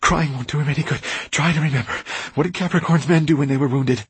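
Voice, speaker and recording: A man's voice: fairly high pitch, fast, breathy edge, dry recording, pitched above the performer's own voice. A man voicing a desperate, frightened woman in an audiobook, pleading and urgent as she fights back tears. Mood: stern, urgent. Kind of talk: audiobook character acting.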